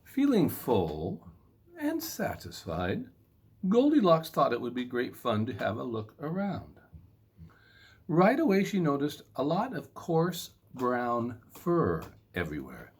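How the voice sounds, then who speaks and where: A man reading a children's story in a playful, expressive way with dramatic emphasis. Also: mid-range voice, slow, husky.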